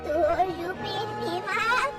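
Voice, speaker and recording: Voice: very high-pitched, takes it slowly. A performer voicing a cruel middle-aged stepmother in a melodramatic cartoon, snarling and scolding. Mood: menacing, scolding. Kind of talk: melodramatic cartoon villain acting. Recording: loud children's music behind.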